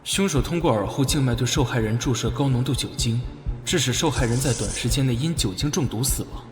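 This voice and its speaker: An actor playing a serious, analytical police investigator reasoning aloud in an animated drama, in a heavily reverberant recording. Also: male voice, mid-range voice, a little fast, lightly acted. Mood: grave, focused. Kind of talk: animated drama deduction monologue. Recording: echoey, long reverb, music behind.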